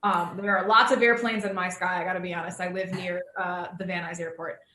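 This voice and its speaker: Bright-voiced American woman moderating, roomy echo. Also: lowish voice, level tone, brisk. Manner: informal, hesitant, thinking aloud. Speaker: panel moderator. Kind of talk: casual moderating of a live video panel. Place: indoor room, on Zoom call. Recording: roomy echo over video call.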